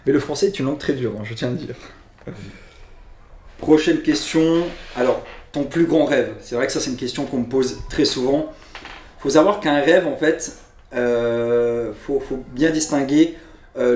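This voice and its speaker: A man's voice: mid-range voice, normal speed, a little mellow, roomy sound, slight background noise. A man from Luxembourg with a Luxembourgish accent, chatting casually as he answers fan questions and often restarts his phrases. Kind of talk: casual Q&A chat.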